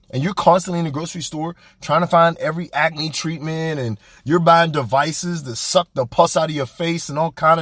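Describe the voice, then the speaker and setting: Animated, husky-voiced man preaching motivation. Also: fairly high pitch, dry recording, studio quality. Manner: animated, preachy, blunt and colloquial. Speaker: podcast host. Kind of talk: motivational monologue.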